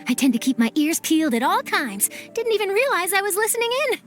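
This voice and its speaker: Bubbly nosy young reporter gal, high lively voice, music in the back. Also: female voice, full character voice. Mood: eager. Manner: chipper, persuasive, quick. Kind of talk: video game cutscene dub.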